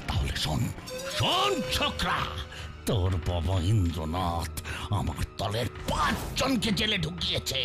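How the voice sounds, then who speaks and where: A gravelly, gloating cartoon villain boss. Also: male voice, fairly high pitch, full character voice. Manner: theatrical, sneering, swinging between growls and shouts. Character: menacing male villain boss, boastful and cruel. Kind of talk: cartoon voice acting.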